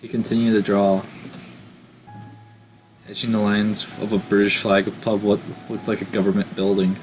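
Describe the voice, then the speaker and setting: Give me a low-voiced man reading game narration aloud. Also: little pitch movement, normal speed, a little mellow, faint music behind, low-quality recording. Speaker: let's play host. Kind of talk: reading a visual novel aloud with commentary.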